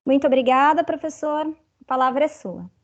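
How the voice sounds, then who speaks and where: A woman's voice: high voice, animated, moderate pace, muffled recording. A woman with a Brazilian Portuguese accent moderating an academic webinar, reading statistics carefully and adding "né" often. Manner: careful, reads figures, frequent "né". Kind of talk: academic panel presentation.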